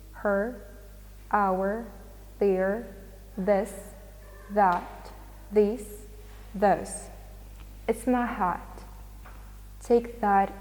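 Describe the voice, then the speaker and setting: A woman lecturer teaches an English grammar lesson at a medium pitch. She explains step by step and reads her examples aloud. The recording has a long reverb tail. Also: darkish tone.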